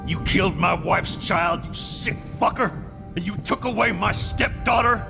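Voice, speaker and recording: An actor playing a gruff, middle-aged war veteran and stepfather, brooding and terse, in a video game drama. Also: sits high, normal speed, big-room sound, over music. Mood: anguished rage.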